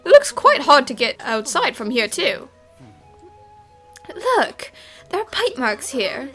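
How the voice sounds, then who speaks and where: A high, very animated young female detective played by a woman, eager and inquisitive, with a love of food. The delivery is perky cartoon game dubbing over background music. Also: slow pace, full character voice. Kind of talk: fan dub game voice acting.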